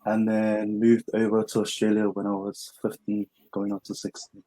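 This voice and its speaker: A young man with a New Zealand accent tells his story as a guest in a low, mellow voice. He sounds easygoing and plain-spoken and talks without fuss. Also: completely flat delivery, normal speed, poor audio. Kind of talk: interview storytelling.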